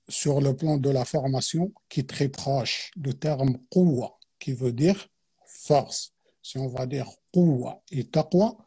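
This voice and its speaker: Guy teaching on a livestream, mellow husky voice, lots of euh and restarts. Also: mid-range voice. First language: Arabic. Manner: hesitant, many fillers and restarts. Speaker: guest teacher. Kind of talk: explanatory religious talk on a live stream.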